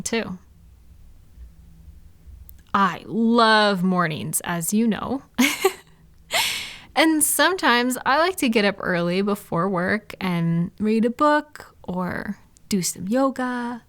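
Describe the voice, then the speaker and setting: Woman performing a supportive female friend, slightly high pitch, casual and reassuring, intimate audio roleplay, very dry close sound. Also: dry recording, full character voice. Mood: gentle, understanding. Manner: reassuring, validating, softly indignant on listener's behalf. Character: supportive, gentle female friend comforting a night owl. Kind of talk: intimate one-sided comfort roleplay, casual conversational.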